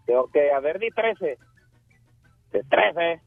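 A man on the phone tells a joke in a casual, chuckling way. His voice sits high and comes through thin and dry like a phone line. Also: lively intonation, takes it slowly, gravelly, no echo, faint music behind. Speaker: caller.

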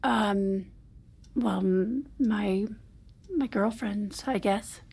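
Guarded wife in therapy, husky, creaky, hesitant, deflecting, understated audio drama. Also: female voice, mid-range voice, very slow, a little mellow, heavily acted. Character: guarded, evasive married woman in therapy, curt when pushed. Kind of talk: naturalistic audio drama acting.

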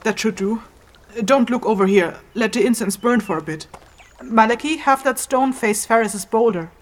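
A woman's voice: on the high side, lightly acted. Stern, exacting feathered ritualist woman played by an actress. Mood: commanding. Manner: measured, instructive, curtly commanding. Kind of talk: immersive fantasy audio drama acting.

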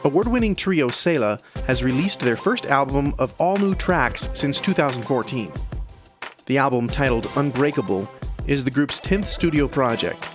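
A man reads scripted news as the host of an audio newsletter. His voice sits at a medium pitch, and music plays clearly underneath him. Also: animated, normal speed. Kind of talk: scripted narration. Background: background music.

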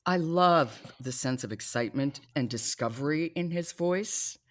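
A woman's voice: low-pitched, a little slow, no room sound. A woman hosting a podcast discussion about books. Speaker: podcast host.

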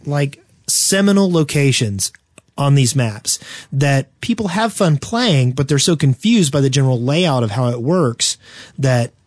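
A man's voice: medium pitch, expressive, a little slow, a little mellow, no echo, studio quality. A man co-hosting a gaming podcast, talking casually and enthusiastically. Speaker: podcast co-host.

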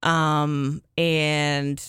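A woman's voice: low-pitched, flat delivery, drawn-out words, a bit bright, smooth, no room sound, studio quality. A woman co-hosting a casual podcast, laughing often and rambling earnestly. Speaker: podcast co-host. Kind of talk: casual chat.

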